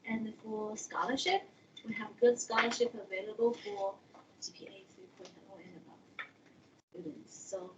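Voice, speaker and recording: A woman who is an international education coordinator, presenting slides in a relaxed, conversational way, with Chinese as her first language and slightly non-native phrasing. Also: mid-range voice, moderate pace, background noise.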